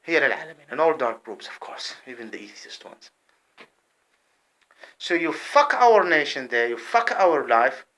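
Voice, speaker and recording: Man, fiery monologue to camera, repeats himself, jumps from loud bursts to low mutters, bright and tense tone. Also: big pitch swings, unhurried. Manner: heated, repetitive, swings between loud outbursts and low muttering.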